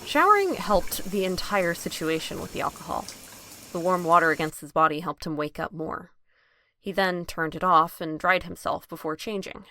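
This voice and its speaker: A woman's voice: lowish voice, lively intonation, moderate pace, bright, forceful tone, slight background noise. A woman reading scripted narration for an audio drama.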